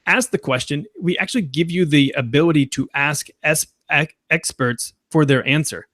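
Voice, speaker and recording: A man's voice: on the high side, moderate pace, fairly bright tone, no room sound, clean studio sound. A man speaking as a podcast guest, enthusiastic and full of fillers as he explains. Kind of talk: casual explanation.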